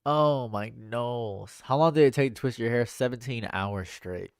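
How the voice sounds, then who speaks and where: Young man, stuffed-up nose, deadpan stream chat, mid pitch, dry close sound. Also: measured pace, smooth, no room sound. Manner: dry and deadpan, laughs at own jokes. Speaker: livestream host. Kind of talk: casual chat reading viewer comments. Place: home room.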